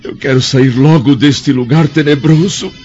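A man's voice: on the high side, steady tone, unhurried, a little mellow, lightly acted. A Brazilian man acting a blind, wounded, dignified older Roman senator in a radio drama, with formal, solemn diction. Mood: somber. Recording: dull lo-fi audio, music underneath.

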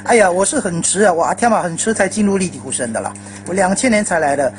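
A woman presenting on radio in an expressive way, with many sentence particles. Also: on the low side, fast talker, soft background music. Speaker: radio host.

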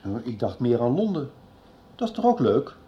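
A man's voice: medium pitch, very animated, normal speed, mellow, lo-fi sound, close to an everyday voice. A man acting a busy, evasive middle-aged father in a Dutch radio soap. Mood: distracted, placating.

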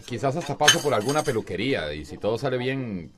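An actor playing a cocky, self-justifying middle-aged husband in a radio soap, with a Costa Rican accent. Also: male voice, mid-range voice, very animated, fast, heavy background noise, close to an everyday voice. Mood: upbeat, boastful.

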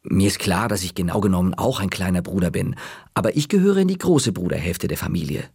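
A man narrating a children's story in the first person with a lively, wry delivery. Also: sits low, fast, gravelly, slightly rough, dry recording, clean studio sound. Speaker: audiobook narrator.

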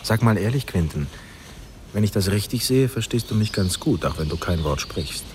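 Radio play: man acting a genial, musing man with a low, swinging voice, birds and outdoor noise behind. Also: animated, normal speed, lightly acted. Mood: teasing, curious. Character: genial, teasing man talking to a silent little boy. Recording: noisy outdoor scene with birdsong. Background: birds and outdoor countryside ambience.

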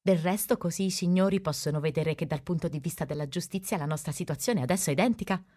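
A woman's voice: on the low side, fast talker, dark tone, lightly acted. A woman playing a cunning, self-justifying male castaway in an absurdist stage comedy, formally protesting and pleading with melodrama. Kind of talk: theatrical absurdist comedy acting.

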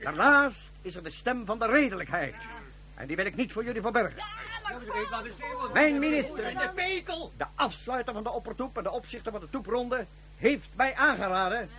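Very high-voiced solemn ruler. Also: male voice, lively intonation, moderate pace, noisy background. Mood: stirring appeal. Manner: rhetorical, emphatic, repeats phrases. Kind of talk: radio drama oratory.

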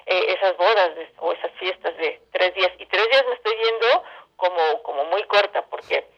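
A woman talking as a guest in a conversational interview, explaining things in a halting then flowing way. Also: on the low side, dull audio. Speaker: interview guest, theatre director.

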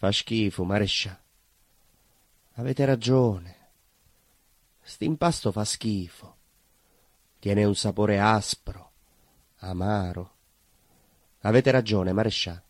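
An actor playing an anguished, naive baker with a southern Italian accent, confessing his shame in an intense, halting theatrical monologue full of obsessive repetition. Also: fairly low pitch, dry recording. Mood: bitter, broken. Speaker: stage actor.

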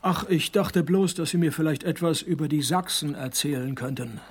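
A world-weary detective in a radio noir parody. His voice sits on the higher side and sounds rough and husky. He narrates dryly, with laconic, mocking understatement. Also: moderate pace. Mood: wry. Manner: dry, sardonic, laconic. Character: wry, world-weary, hard-drinking male private detective. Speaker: lead character and narrator. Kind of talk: deadpan hard-boiled detective parody narration.

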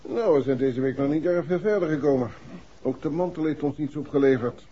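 A man's voice: a little high, a little fast, slightly rough. A man acting a polite, weary, matter-of-fact police inspector in a 1960s radio drama, explaining things patiently. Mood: polite, businesslike. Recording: muffled old 1960s radio recording.

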